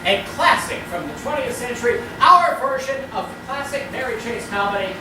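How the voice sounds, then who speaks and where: Animated woman emcee in a very echoey hall. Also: on the high side, moderate pace. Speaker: host introducing acts. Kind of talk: live stage show emceeing. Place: live stage in a theater, before an audience. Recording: very echoey hall, distant mic, loud noise.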